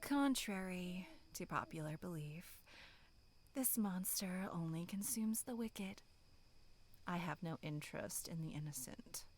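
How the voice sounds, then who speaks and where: A woman voicing a bloodthirsty female demonic monster in a breathy, menacing whisper for a horror ASMR roleplay, sinister yet tender. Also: fairly low pitch, expressive. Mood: soft, menacing. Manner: slow, drawn-out, menacing whispering.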